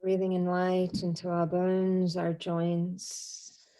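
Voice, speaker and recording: A woman leads a guided meditation in a soft-edged, mellow voice that sits a little low. Her intonation stays very even and flat. She is soothing and unhurried, leaving long pauses between short phrases. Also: low-quality recording.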